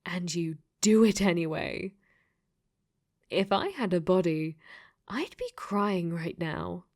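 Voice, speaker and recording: A woman's voice: on the low side, slow pace, a little mellow. A woman playing a clingy, possessive dating sim AI that has become sentient, in an intimate ASMR roleplay that often slips into whispers. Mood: hurt, scolding.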